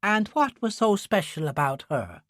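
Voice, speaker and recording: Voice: mid-range voice, very animated, normal speed, fairly bright tone, dry recording, clean studio sound, pitched above the performer's own voice. A narrator voicing a kindly, anxious male parish priest in an audiobook thriller. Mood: anxious questioning.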